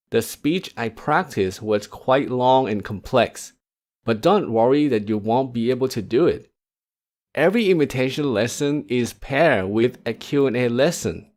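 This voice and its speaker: Regular guy explaining his english course, calm and steady. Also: medium pitch. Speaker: course creator and learner.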